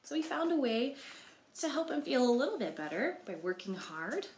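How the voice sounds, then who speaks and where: A woman's voice: on the high side, sing-song, moderate pace, a little mellow. A woman reading a picture book aloud to children, expressively, with explanatory asides and questions for the listeners. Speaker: library storytime reader. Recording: roomy, echoey sound.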